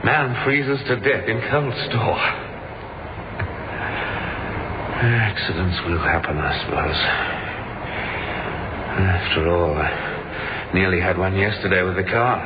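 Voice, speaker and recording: Slow, halting man freezing to death. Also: low-pitched. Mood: grim musing. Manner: halting, brooding monologue broken by desperate outbursts. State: freezing, weakening, exhausted. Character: trapped freezing businessman, paranoid and despairing, talking to himself. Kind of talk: radio thriller acting. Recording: muffled lo-fi audio, clearly noisy.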